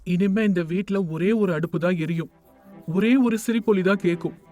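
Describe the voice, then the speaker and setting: Casting: man to play solemn, devoted son in animated moral tale; high-set voice with faint music underneath. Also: normal speed, natural voice. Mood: earnest remorse. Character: devoted, repentant grown son of a joint family. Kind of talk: animated moral story dialogue.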